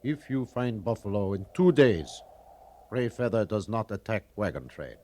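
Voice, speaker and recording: An actor playing a proud, distrustful Arapaho leader in an old-time radio western, speaking solemn, terse broken English. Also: male voice, fairly low pitch, expressive, moderate pace, darkish tone, close to an everyday voice. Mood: unyielding. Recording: vintage radio drama recording.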